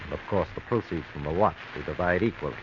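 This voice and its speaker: An actor playing a self-assured American schemer near sixty in an old radio crime drama, suave and sly. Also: male voice, sits low, close to an everyday voice. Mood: scheming, confident. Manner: suave, sly, unhurried explaining. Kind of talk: 1940s radio drama acting. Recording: dull, hissy vintage radio recording.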